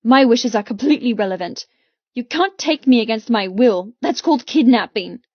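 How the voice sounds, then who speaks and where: Casting: actress as a frightened but defiant young heroine, higher-pitched, emotional and indignant, audiobook, muffled dry sound. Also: female voice, animated, moderate pace, no echo. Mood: defiant fear. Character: defiant, frightened young woman being abducted. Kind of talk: audiobook character dialogue.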